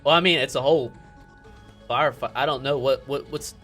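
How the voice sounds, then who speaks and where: A man's voice: mid-range voice, fairly quick, a bit bright. A man playing a tabletop roleplaying game, chatting casually and hesitantly as he thinks aloud. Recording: music playing faintly behind.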